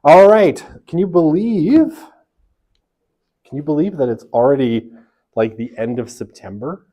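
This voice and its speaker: A man's voice: a little high, expressive, measured pace. Casual chatty male university lecturer. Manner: casual, chatty, frequent fillers and "right?"; self-described big, loud voice. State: half asleep from early rising. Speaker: sociology instructor. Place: lecture classroom. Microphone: classroom microphone.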